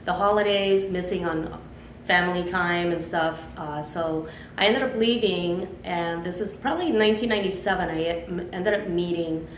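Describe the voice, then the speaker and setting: Low-voiced chatty woman in her fifties. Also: normal speed, echoey room, slight background noise, low-quality recording. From Chile, raised in Miami. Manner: chatty, rambling with many fillers. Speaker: interview guest. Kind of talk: casual storytelling interview.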